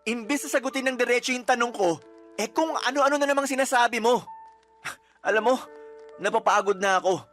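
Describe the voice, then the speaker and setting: A man's voice: very high-pitched, brisk, pauses often, fairly bright tone, soft background music. A young man acting a resentful boyfriend in a radio drama, complaining and accusing. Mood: hurt, accusing.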